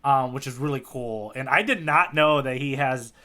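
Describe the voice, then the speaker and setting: A man's voice: medium pitch, moderate pace, pushed and bright. A man co-hosting a podcast, recapping a story in casual, enthusiastic chat. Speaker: podcast co-host.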